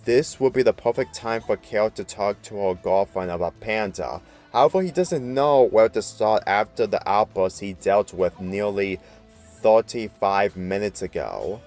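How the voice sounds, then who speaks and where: Male story reader, fairly low register, scripted narration with music underneath. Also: expressive, normal speed, darkish tone. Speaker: fan fiction reader.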